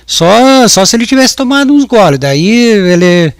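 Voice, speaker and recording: A man in his fifties testifies as a witness in court. His voice sits a little high for a man. He talks hesitantly and often restarts or repeats words. The sound is very dry and close. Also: sing-song, normal speed, clear, resonant tone, no room sound. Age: 50s. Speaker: witness at a jury trial.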